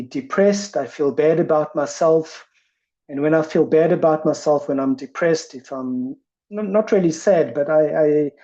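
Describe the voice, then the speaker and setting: Woman presenter who stutters, slow and mellow, dull call audio. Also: low-pitched. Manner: stutters with repetitions and blocks, thoughtful. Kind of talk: reflective talk. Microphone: video call. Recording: muffled video call audio.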